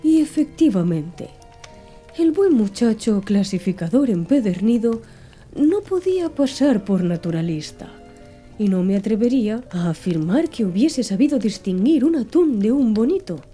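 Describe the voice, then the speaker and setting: A woman narrating an audiobook in a soft, calm, measured ASMR reading style. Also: on the high side, slightly rough. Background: soft background music.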